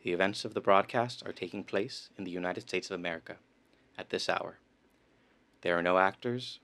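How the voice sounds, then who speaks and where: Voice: low voice, fairly quick, a bit bright. A performer playing a pompous, tyrannical theater director in a comedic radio play, declaiming grandly and with exasperation. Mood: solemn announcing.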